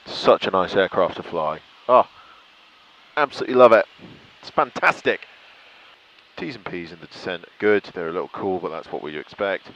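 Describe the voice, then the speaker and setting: A man's voice: low voice, normal speed, highs cut off. A man flying a light aircraft, giving a casual running commentary to himself. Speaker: student pilot vlogging in flight. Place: small light aircraft cockpit in flight. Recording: steady light-aircraft engine noise. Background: aircraft engine noise.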